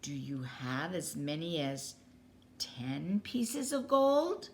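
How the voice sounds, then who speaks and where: Woman as a little old carpenter man, slow and pondering. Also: deep voice, sing-song, a little mellow, full character voice. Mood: welcoming, kindly. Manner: drawn-out, pondering. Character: little old male carpenter, reluctant but kindly. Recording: clearly noisy background.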